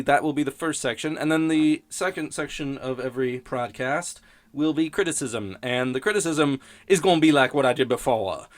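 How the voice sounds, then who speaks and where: A man hosting a podcast in casual, improvised rambling, with a sardonic, profane and self-mocking way of talking. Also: medium pitch. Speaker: podcast host.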